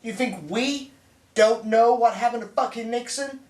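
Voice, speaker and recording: A man playing a profane, bullying spoiled son of a crime boss, in a dark comic drama. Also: a little high, moderate pace, lightly acted. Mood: angry, taunting. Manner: profane, aggressive, ranting. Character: foul-mouthed bullying spoiled heir of a crime boss father. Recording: roomy echo, distant microphone.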